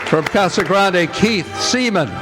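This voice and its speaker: A man works as announcer in formal, showman-like old-time radio style. His voice is a bit higher than average and his delivery is deliberate. Prominent music and a noisy live audience surround him. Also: animated, over a noisy crowd. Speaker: radio theatre announcer and director. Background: music and audience noise.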